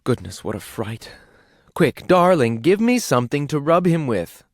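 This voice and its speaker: A man voicing a bossy, fussy cave housewife who scolds her husband in a raised, shrill voice. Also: very animated, no room sound, clean studio sound. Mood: anxious. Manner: scolding, shrill, fast-changing fuss.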